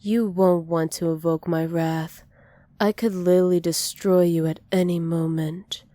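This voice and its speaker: A woman playing a dominant, possessive villain who dotes on a captive, softly menacing and teasing in an ASMR roleplay. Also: fairly low pitch, fairly even intonation, unhurried, no room sound, lightly acted. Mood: commanding, possessive. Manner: soft, teasing menace with breathy whispered endearments.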